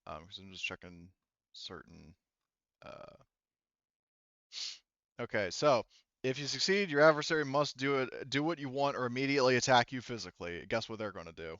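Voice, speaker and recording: A man working as game master in an online tabletop roleplaying session, thinking aloud in halting phrases as he narrates and checks rules on a headset mic. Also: medium pitch, animated, a bit bright, dry recording, highs cut off. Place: online voice call. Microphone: gaming headset.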